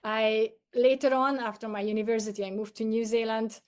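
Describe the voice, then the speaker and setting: A woman's voice: a little high, flat delivery, brisk, smooth. A middle-aged woman with a Hungarian accent, a native Hungarian speaker, telling personal stories conversationally as an interview guest. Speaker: podcast guest, coach.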